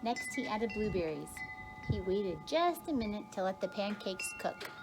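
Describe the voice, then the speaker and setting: Expressive woman reading a picture book. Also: medium pitch, moderate pace, noisy background. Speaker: kindergarten teacher reading aloud. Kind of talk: expressive children's picture-book read-aloud.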